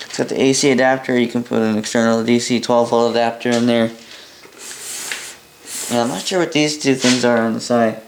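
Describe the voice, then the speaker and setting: A Canadian man casually demonstrating gear in an unscripted, halting way, thinking aloud as he goes. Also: medium pitch, steady tone. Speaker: hobbyist presenter demonstrating a vintage boombox. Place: indoor room at a workbench by a window. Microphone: camera mic a short distance away. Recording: roomy, with noise and clicks.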